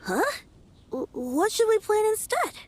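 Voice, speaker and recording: Tiny, very high-pitched child. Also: female voice, sing-song, unhurried, darkish tone, heavily acted. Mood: timid, worried. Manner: childish, stammering. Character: small earnest child, timid but eager. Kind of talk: cartoonish game voice acting.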